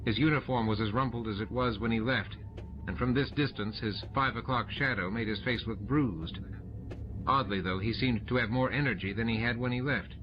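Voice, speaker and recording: A man's voice: mid-range voice, normal speed. A man giving scripted narration of a science fiction novel as an audiobook narrator. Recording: dull lo-fi tape, noisy ambient effects. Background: added ambient sound effects.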